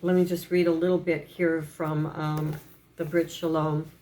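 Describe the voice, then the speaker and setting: A woman with a froggy voice, hesitantly reading and explaining a religious text with many pauses and fillers. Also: low-pitched, little pitch movement, dark tone, rough recording. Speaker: religious teacher.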